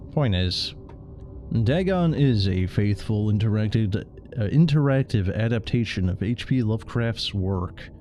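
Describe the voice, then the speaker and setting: A man's voice: on the low side, dark tone. A man doing casual game stream commentary in a rambling, sardonic, sweary way. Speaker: livestreamer.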